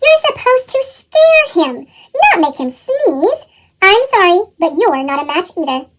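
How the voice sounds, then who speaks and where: A woman voicing a strict male monster teacher in a storybook, formal and scolding, with a chipmunk pitch effect. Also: very animated, a little slow, very noisy, heavily acted. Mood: stern. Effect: chipmunk pitch-shifted voice.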